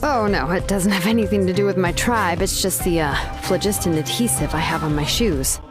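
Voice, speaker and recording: A woman voicing a laid-back, expert female blacksmith in a game cutscene, talking in a relaxed, offhand way with frequent "uh"s. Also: fairly low pitch, a little fast, close to an everyday voice. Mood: casual. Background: game background music.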